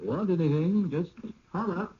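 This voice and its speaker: An actor playing a plain-spoken, dutiful country house servant in a radio drama, laconic and folksy. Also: male voice, sits high, moderate pace, slightly rough. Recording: dull old radio recording.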